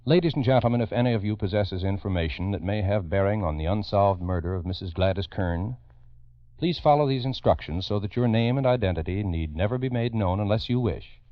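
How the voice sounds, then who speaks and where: A man with a low voice narrates like a 1950s radio crime host. He is solemn and dramatic, leaning on his words as he addresses listeners. The sound is dry and dulled like an old broadcast. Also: lively intonation, fairly quick, dry recording. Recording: dull vintage radio recording.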